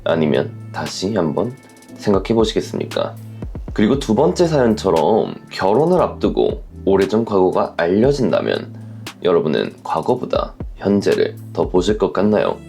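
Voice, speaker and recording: Low-voiced man with a slightly creaky edge. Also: sing-song, moderate pace, warm tone, soft background music. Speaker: host of a relationship story channel. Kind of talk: scripted advice commentary to camera or listeners.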